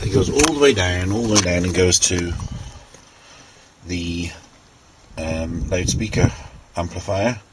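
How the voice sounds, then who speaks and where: A man giving an informal hands-on technical walkthrough, unscripted and halting as he thinks aloud. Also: low voice. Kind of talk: informal hands-on show-and-tell narration. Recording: clearly noisy handheld amateur recording.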